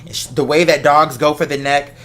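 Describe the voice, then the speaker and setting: A casual talk host with a bright, forceful voice at a middling pitch. The delivery is conversational and animated, working through personal stories with examples and quoted asides. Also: fairly quick. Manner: animated storytelling, explains with examples and acted-out quotes.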